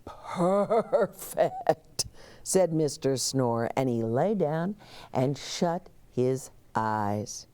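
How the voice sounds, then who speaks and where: A woman's voice: extremely low pitch, lively intonation, slow pace, mellow, smooth. A woman hosting a children's story time, reading aloud expressively with chatty asides, explanations and questions for young listeners.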